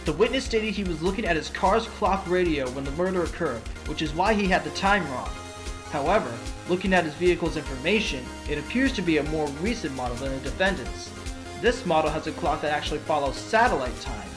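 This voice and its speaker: A man's voice: fairly high pitch, expressive, over music, close to an everyday voice. A man voicing an earnest, determined fox defense attorney in a courtroom audio drama, arguing with emphasis. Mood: accusing. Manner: emphatic, argumentative, dramatic pauses.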